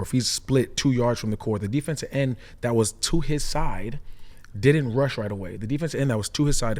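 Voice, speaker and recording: A man's voice: medium pitch, darkish tone. Explanatory man breaking down football tactics. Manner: explanatory, often checks with "right?". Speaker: former player turned football analyst. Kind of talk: casual sports analysis.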